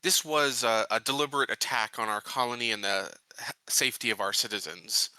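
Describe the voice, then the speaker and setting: A man voicing an earnest, secretive colony marshal in a halting, deliberate and persuasive manner, in a tabletop game. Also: medium pitch, bright, forceful tone, clean tone, no echo, low-quality recording. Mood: grave persuasion.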